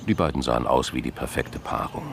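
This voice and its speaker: A man's voice: extremely low pitch, a little fast, creaky, slight background noise. A man narrating a scripted crime drama.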